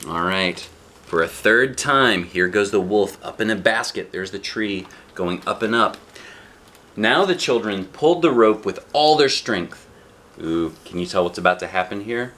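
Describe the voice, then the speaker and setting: A man reading a storybook aloud to a child, with dramatic drawn-out phrasing and casual asides. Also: on the low side, lively intonation, a bit bright, a little hiss or hum. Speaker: dad reading a picture book to a child.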